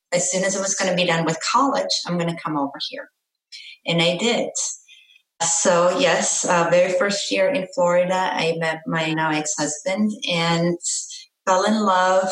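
A middle-aged woman from Austria, a parent coach, chatting earnestly and cheerfully in an interview. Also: fairly low pitch. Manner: earnest, upbeat, laughs easily. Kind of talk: casual interview chat. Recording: echoey room, voice a bit far from mic.

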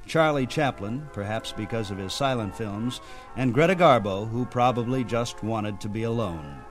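A man's voice: lowish voice, normal speed. A man hosting a radio program, reading scripted narration in a presenter's style. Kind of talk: scripted narration about old-time radio. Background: theme music.

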